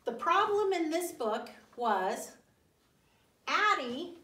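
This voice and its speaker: A woman teacher leading a classroom reading lesson, speaking deliberately and didactically, pausing, repeating and putting questions to pupils. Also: high voice, sing-song, sharp tone. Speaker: elementary school teacher. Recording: roomy echo, distant mic.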